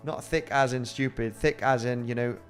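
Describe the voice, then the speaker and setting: A man's voice: medium pitch, fairly even intonation, moderate pace. Man, Spanish accent, rambling livestream host, faint music underneath. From Spain. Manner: rambling and chatty, with frequent fillers and laughs. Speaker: crypto trading host. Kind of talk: casual livestream chat reading viewer comments.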